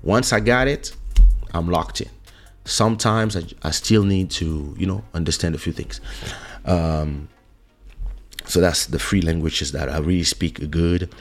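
A man from Congo hosting a podcast, talking casually in a relaxed, rambling way and often correcting himself. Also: low voice, measured pace, faint music behind. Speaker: podcast host. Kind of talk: casual monologue.

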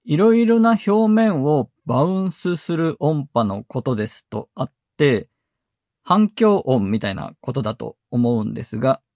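A man with a slightly high voice narrates a tech explainer in a very dry recording. He goes through things methodically, step by step, as a podcast host. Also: darkish tone, no echo.

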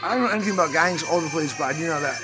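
A man's voice: a little high, racing, heavy background noise. A man in his thirties, rambling and crude, joking and boasting about his music on a city street. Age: 30s. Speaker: street musician being interviewed. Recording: music playing behind.

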